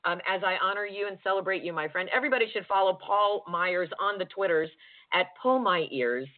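A warm, effusive woman co-hosts a radio talk show, thanking guests and laughing easily. Her voice sits in a middle pitch for a woman. The sound is thin and narrow, like a phone line. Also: fairly quick. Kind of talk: casual radio chat.

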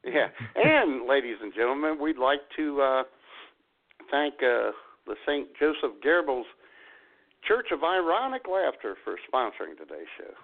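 A man's voice: medium pitch, sing-song, measured pace, creaky. A man hosting a comedy podcast, chatting casually in a wry, mock-solemn way. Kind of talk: casual joking banter. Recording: thin phone-like band-limited audio.

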